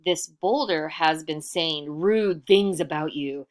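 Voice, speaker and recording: A woman reading a storybook aloud as a sly trickster man, bossy and pleading, with theatrical shouts and wheedling. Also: sits low, takes it slowly. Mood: scheming. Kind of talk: storybook read-aloud character voice.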